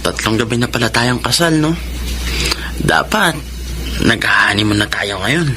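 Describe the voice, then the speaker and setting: A man's voice: medium pitch, expressive, measured pace, background noise, close to an everyday voice. A man playing a devoted, earnest young husband with a Filipino accent in a romantic radio drama. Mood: tender, resolute.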